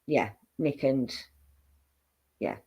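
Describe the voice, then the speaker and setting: Woman co-host, low voice, picking the story apart. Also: lively intonation, very slow, darkish tone, slightly rough. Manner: analytical, picks apart the story, some hesitant restarts. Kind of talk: casual live stream commentary.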